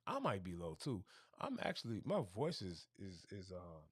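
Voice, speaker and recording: A man hosting a casual livestream, talking through his plans in a rambling way and going hoarse. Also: sits low, huge pitch swings, moderate pace, no room sound, clean studio sound. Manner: rambling, thinking aloud with restarts. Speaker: livestream host.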